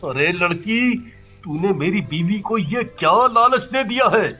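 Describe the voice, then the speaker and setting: Man acting a high, bright-voiced devoted husband. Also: expressive, a little slow, faint music behind, exaggerated voice. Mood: indignant. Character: Ram, devoted, indignant young husband. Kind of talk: cartoon family drama.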